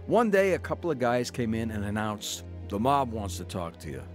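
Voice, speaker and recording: A man's voice: on the low side, big pitch swings, normal speed, faint music behind. An actor playing an uneasy American tavern owner who tells his own story in a radio drama. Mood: reflective narrating. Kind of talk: radio drama acting and first-person narration.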